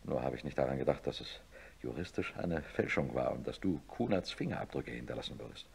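An actor playing a middle-aged neurosurgeon in a German radio play, speaking in a measured, matter-of-fact and insistent way as he reasons and narrates. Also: rumbling low, animated, vocal fry, darkish tone, husky, heavily acted. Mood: subdued, grave. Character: sober male neurosurgeon, blunt old friend, narrating his story.

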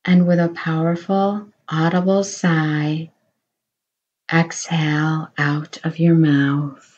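A woman guiding a meditation in a slow, soothing voice, offering gentle reassurance. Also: low-pitched, little pitch movement, lo-fi sound. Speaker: meditation guide. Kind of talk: guided meditation.

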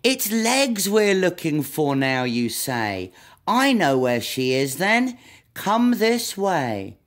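Woman as a helpful muddled butterfly, slow lively delivery. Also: fairly low pitch. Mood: confident. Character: helpful, confident but muddled butterfly guide. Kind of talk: storybook read-aloud.

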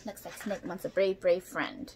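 A woman's voice: lowish voice, little pitch movement, normal speed. Young woman reading a storybook, noisy recording. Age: young adult. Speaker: storyteller reading a picture book to children. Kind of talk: expressive read-aloud storytelling with asides to young listeners. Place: small indoor room at home. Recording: noisy home room recording.

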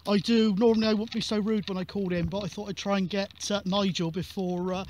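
A man's voice: high voice, fairly even intonation, quick pace, a little mellow. A man making casual chat and radio calls as a CB radio operator. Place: outdoors on an open moorland hilltop. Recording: outdoor recording with clear noise.

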